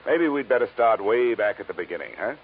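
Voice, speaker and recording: An actor playing a blunt, hard-boiled insurance investigator in a vintage radio detective drama, brisk in his questioning and narration. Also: lowish voice, lively intonation. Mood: brisk, probing. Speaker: lead actor and first-person narrator. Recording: tinny, noisy old-time radio recording.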